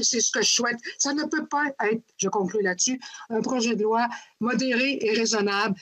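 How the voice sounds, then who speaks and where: A woman's voice: a little high, a bit bright. A woman with a Québécois accent, a former minister testifying at a parliamentary hearing, emphatic and repeating words for stress. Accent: Québécois French.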